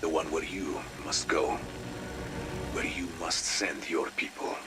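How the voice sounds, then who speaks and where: Deep, ominous informant. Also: male voice, over loud music. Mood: grave, insistent. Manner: terse, deliberate. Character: mysterious, ominous Russian male informant, cool and knowing. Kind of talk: video game audio log acting.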